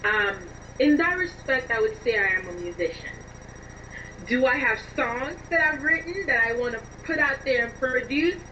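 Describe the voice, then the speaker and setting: A woman being interviewed as a writer and musician, answering conversationally in an expressive, emphatic way. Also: high voice, moderate pace. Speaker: interviewed playwright and songwriter. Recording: dull, distant mic with faint noise.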